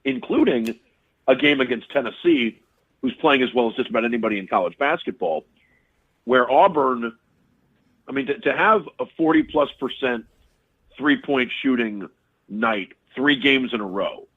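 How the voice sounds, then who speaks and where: A man's voice: mid-range voice, animated, husky. Man talking sports over a tinny phone line. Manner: fast, repeats words while thinking. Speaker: radio sports broadcaster, guest. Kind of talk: casual sports analysis.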